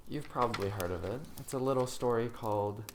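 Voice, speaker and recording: A soft-spoken man giving a gentle, unhurried, hushed ASMR bedtime story reading. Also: medium pitch, a little hiss or hum.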